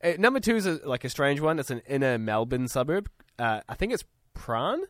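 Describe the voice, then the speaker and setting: A man's voice: medium pitch, sing-song, a little fast, fairly bright tone, dry recording, studio quality. Giggly New Zealand man on radio. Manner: giggly, chatty, lots of fillers. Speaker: radio co-host. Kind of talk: casual on-air banter. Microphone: studio microphone.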